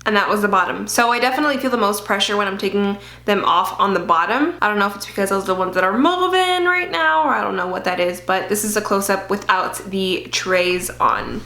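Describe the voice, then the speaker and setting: A woman's voice: medium pitch, fairly quick, hardly any pauses, sharp tone. Chatty woman vlogging in a roomy space. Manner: chatty, many fillers, occasional swearing. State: slight lisp from dental aligners. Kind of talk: casual personal vlog. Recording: roomy echo.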